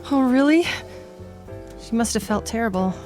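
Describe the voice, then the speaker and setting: Prim young miss played by a woman, higher-pitched, easily flustered and cutting, for radio romantic comedy. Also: expressive, moderate pace, darkish tone, soft background music, close to an everyday voice. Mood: flustered, expectant. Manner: stammering, indignant, cutting. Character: prim, flustered, sharp-tongued young single woman awaiting a date. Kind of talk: old-time radio romantic comedy acting.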